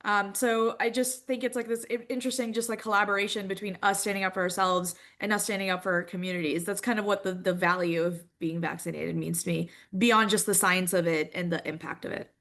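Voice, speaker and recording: A woman's voice: medium pitch, fairly even intonation, a little fast, hardly any pauses, fairly bright tone. A woman from India speaking as a panelist in a webinar, conversational, with frequent "like". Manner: conversational, uses "like" often.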